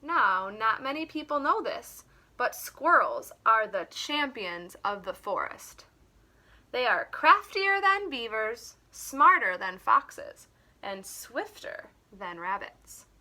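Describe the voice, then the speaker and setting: A woman reading a story aloud to young children, expressive, with simple explanatory asides. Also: on the high side, takes it slowly. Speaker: children's teacher and story reader.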